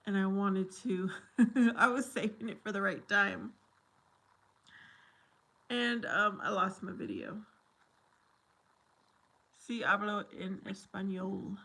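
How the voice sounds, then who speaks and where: A woman's voice: mid-range voice, steady tone, slow, vocal fry, pauses often, a bit bright. A woman chatting casually with viewers on a livestream, slipping Spanish phrases in between English. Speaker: livestream host.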